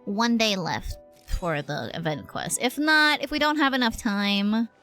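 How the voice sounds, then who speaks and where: A woman's voice: medium pitch, lively intonation, unhurried, bright, forceful tone. A woman livestreamer giving casual, chatty, slangy commentary while she plays a game. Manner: chatty, slangy, lightly swearing. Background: game music and dialogue.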